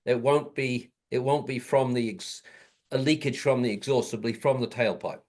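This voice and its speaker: A man with an average-pitched voice talks through a problem on a conference call. He is casual but direct, giving matter-of-fact technical advice. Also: steady tone, moderate pace, darkish tone. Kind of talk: casual troubleshooting.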